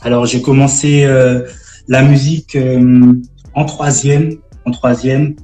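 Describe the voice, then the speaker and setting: A man in his twenties from French Guiana, a gospel rap artist chatting on a live stream, halting with many fillers and restarts. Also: medium pitch, level tone, mellow, echoey room, faint music behind. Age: 20s. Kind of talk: casual live-stream interview.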